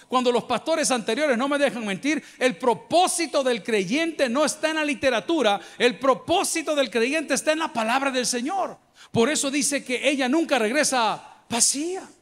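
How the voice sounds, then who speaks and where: Salvadoran male pastor, middle-aged, high voice, animated sermon delivery on handheld mic. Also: normal speed. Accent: Salvadoran Spanish. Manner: lively preaching, bursts of mimicry and humor. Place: large church auditorium with a crowd. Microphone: handheld microphone.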